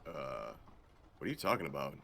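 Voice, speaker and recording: A man voicing an awkward, deadpan macho young action hero with a halting, casual delivery in a visual novel dub. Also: very deep voice, creaky, a little hiss or hum, pitched below the performer's own voice, exaggerated voice. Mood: dismissive. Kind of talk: improvised visual novel dubbing.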